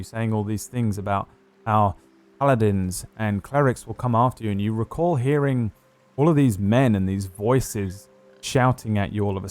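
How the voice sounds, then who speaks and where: A man running a tabletop game as game master, narrating with hesitant pauses and fillers. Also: on the low side, darkish tone.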